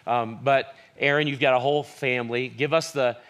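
A man's voice: mid-range voice, fairly even intonation, normal speed, a bit bright. Pastor guy interviewing a guest at church, friendly, keeps restarting his words. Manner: friendly, stumbling and repeating words.

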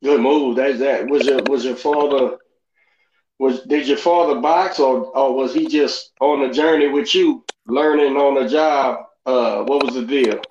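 A man's voice: medium pitch, steady tone, darkish tone. Guy cohost rambling questions, lots of uh, echoey dull sound with a bit of hiss. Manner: halting, many restarts and "uh"s. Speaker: podcast co-host. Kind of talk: casual interview questions. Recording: roomy, dull, slight hiss.

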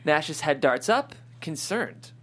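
A man's voice: on the high side, very animated, slow, a bit bright, husky. A man hosting a script reading and narrating stage directions in a descriptive storytelling style.

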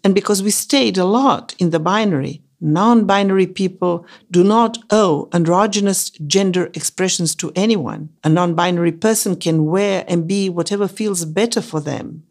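A woman's voice: lowish voice, normal speed, hardly any pauses, darkish tone. A non-binary co-host with a woman's voice and a Greek accent, reading a scripted educational dialogue in an explanatory, emphatic way. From Greece. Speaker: podcast co-host.

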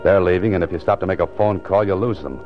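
Actor as a very deep-voiced hard-boiled radio detective. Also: male voice, fast talker, lightly acted. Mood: brooding. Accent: American. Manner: terse, self-questioning. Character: hard-boiled male author-detective, brooding, determined, narrating his case. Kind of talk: 1940s radio acting, noir narration. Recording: muffled 1940s radio recording, music behind.

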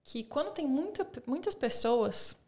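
Chatty young Brazilian woman, slightly high. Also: lively intonation, a little slow, a little mellow. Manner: chatty, storytelling, frequent "tipo" and "sabe". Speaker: podcast guest, violinist. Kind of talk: casual interview chat.

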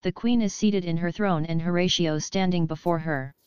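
A woman's voice: fairly low pitch, moderate pace, a little mellow, smooth, no echo. A woman reading stage directions as scripted narration for an audio play. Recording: dry, slightly dull close recording.

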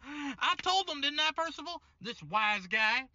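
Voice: high-pitched, expressive, unhurried, pushed and bright, dry recording, dull audio, exaggerated voice. An actor playing a brash, cocky, tipsy American man in a radio play, slangy and boisterous. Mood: smug. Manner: slangy, boisterous, repeats himself. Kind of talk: old-time radio drama acting.

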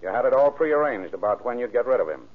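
An American actor playing a relentless, sarcastic police interrogator in a vintage radio drama. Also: male voice, mid-range voice, big pitch swings, fast. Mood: sardonic, pressing. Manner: sarcastic, pressing. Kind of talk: 1930s radio drama acting. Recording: muffled, band-limited old radio recording.

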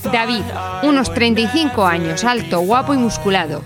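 A woman's voice: a little high, expressive, normal speed, music in the background. A woman from reading scripted, dramatic narration for a radio soap.

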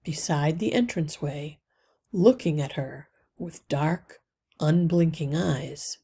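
A woman reads a children's story aloud expressively, with deliberate phrasing that drops into hushed line endings and a husky, breathy voice. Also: low-pitched. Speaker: read-aloud podcast narrator.

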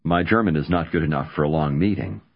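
Deep husky male course narrator. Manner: slow, deliberate prompting. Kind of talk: scripted instruction. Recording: dull lo-fi tape audio.

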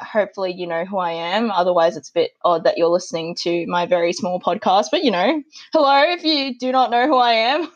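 A woman's voice: medium pitch, lo-fi sound. A young Australian woman hosting a podcast in an upbeat, giggly, chatty manner. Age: young adult. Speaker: podcast host. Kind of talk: casual podcast chat. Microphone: remote call audio.

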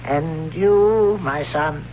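An actor playing a stern, zealous elderly high priest who speaks solemnly and commandingly, in a 1970s radio drama. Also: male voice, very slow, faint music behind. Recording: dull lo-fi old radio broadcast with hiss.

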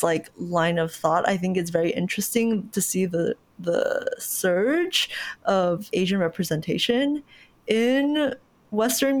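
A young woman born in Malaysia hosts a casual conversation and talks in a relaxed, reflective way with frequent fillers. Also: fairly high pitch.

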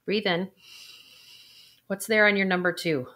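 A woman coaching viewers through a guided intuition exercise, with soft sighs and a calm, unhurried delivery. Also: mid-range voice. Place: indoors, recording a video.

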